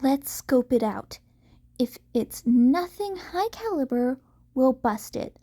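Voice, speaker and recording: A woman's voice: sits high, animated, slow pace, dark tone, full character voice. Brash, commanding superhero woman read by a woman. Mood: stern, threatening. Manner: commanding, curt, teasing. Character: bossy, brash adult female superhero mentor.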